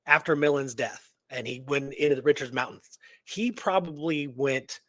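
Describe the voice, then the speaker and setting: A man's voice: mid-range voice, moderate pace, fairly bright tone, no echo. A man hosting a talk show, telling a historical story in a casual, colloquial, earnest and engrossed way. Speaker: radio show host telling a true story.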